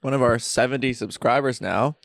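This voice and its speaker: A man's voice: medium pitch, fairly quick, creaky, dry recording. A young man co-hosting a podcast from a friend's basement, in rambling casual chat. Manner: rambling, animated storyteller. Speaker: podcast co-host.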